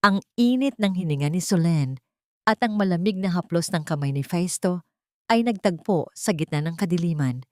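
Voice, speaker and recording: A woman's voice: low voice, mellow, no room sound, studio quality. A woman narrating a dramatic audiobook story from a script.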